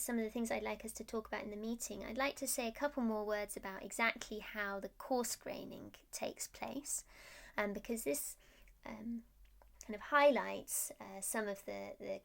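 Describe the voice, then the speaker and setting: Creaky-voiced woman giving a technical presentation. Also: on the high side. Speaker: research scientist presenting. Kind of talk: technical presentation, explaining slides.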